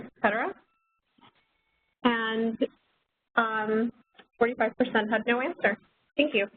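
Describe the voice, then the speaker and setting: A woman on a webinar reading out poll results in an even, list-like way. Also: fairly high pitch. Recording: tinny phone line, faint noise.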